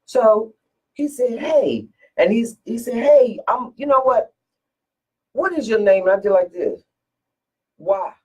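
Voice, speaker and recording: Deep voiced woman from philly telling stories on a radio show, kinda husky, sounds far from the mic and muffled. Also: slow pace, long pauses. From Philadelphia. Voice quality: deep strong voice. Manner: animated storyteller, restarts and repeats words. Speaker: guest singer. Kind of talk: casual radio interview storytelling.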